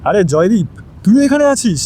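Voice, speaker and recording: A man plays a confident police officer in an audio drama. He is friendly and chatty, his voice slightly high and softly mellow, with English phrases mixed in. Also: big pitch swings, normal speed, a little hiss or hum. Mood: affable. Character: affable, self-assured male police officer.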